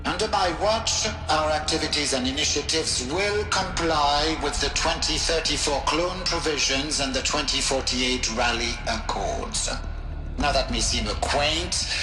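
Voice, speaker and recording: An actor playing a pompous, principled program director who gives a formal speech with grandiose, precise emphasis. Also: male voice, medium pitch, natural voice. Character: pompous principled male scientist in charge of a program. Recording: roomy echo under loud music. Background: music.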